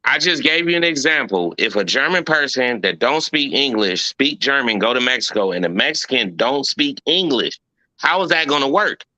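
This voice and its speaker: Dude w a really bright dry voice, folksy, keeps pushing his point. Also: medium pitch, lively intonation, normal speed, no echo, rough recording. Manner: folksy, persistent, keeps saying right and okay. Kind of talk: heated casual debate.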